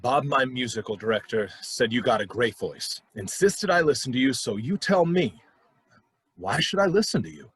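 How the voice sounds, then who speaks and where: An actor in a radio play as a gruff, skeptical, soft-hearted cabaret boss with a husky voice and a curt, sardonic manner. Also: male voice, medium pitch, animated, normal speed, no echo. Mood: skeptical, dismissive.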